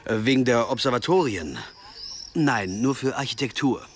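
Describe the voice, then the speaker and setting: An actor playing a hesitant, understated young architecture graduate abroad in a German radio drama. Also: male voice, mid-range voice, animated, moderate pace, slight background noise, close to an everyday voice. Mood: dry, hesitant. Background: music behind the voice.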